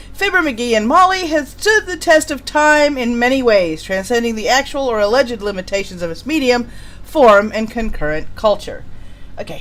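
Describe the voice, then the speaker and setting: A woman hosting and giving casual, amused commentary on old radio shows. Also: on the high side. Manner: amused, giggly. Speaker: host.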